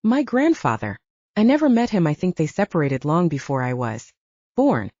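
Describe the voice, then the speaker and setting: Lady playing a young werewolf soldier gal, chatty n candid, lively up-and-down pitch, kinda dull recording. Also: normal speed, no room sound. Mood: candid, wry. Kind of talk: audiobook character dialogue. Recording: dull, lo-fi sound.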